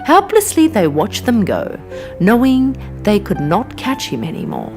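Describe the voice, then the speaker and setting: A woman narrating a children's story in an animated, expressive way. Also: medium pitch, unhurried. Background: music.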